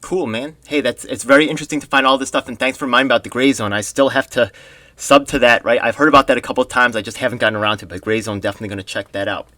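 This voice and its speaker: A man's voice: mid-range voice, rapid-fire, sharp tone. A man from Korea hosting a casual interview, chatty and loose, often laughing and acting out quoted voices. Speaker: podcast host.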